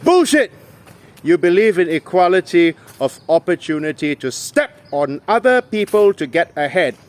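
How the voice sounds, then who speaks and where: A man's voice: sits high, very animated, normal speed. A man delivering an opinionated political monologue in an emphatic, indignant, rhetorical manner. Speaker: political commentator.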